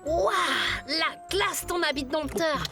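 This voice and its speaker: A woman voicing an excitable, nervous young boy sidekick in a French cartoon. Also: sits high, unhurried, over music. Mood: admiring. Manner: excitable, stammering when nervous.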